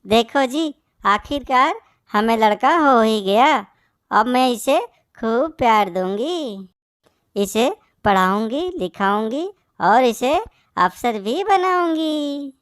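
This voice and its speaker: A woman's voice: fairly high pitch, lively intonation, takes it slowly, clean tone, dry recording, clean studio sound. A woman voicing a cruel, scolding bird mother in a moral-story cartoon. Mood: proud, doting. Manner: scolding, harsh.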